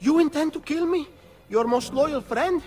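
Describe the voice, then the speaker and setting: High-pitched, pleading conspirator. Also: male voice, moderate pace, a little mellow, faint music behind. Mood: anxious pleading. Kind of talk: dramatic video game voice acting.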